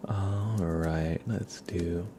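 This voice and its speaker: A man hosting an ASMR livestream, chatting casually in a soft, hushed voice that often slips into a whisper. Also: sits low, fairly even intonation, very slow, noisy background. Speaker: livestream host. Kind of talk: ASMR, casual chat with viewers.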